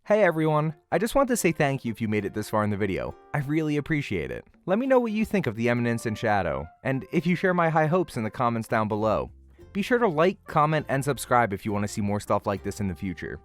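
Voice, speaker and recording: Animated male reviewer over background music. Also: mid-range voice, quick pace. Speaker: host, anime reviewer. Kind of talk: scripted video essay narration, casual first-impressions review.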